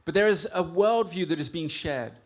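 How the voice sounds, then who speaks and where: A missionary from England preaching in short phrases, pausing after each for his interpreter. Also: a little high, expressive. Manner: short phrases paused for translation. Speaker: missionary speaker. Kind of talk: sermon through an interpreter. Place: church hall.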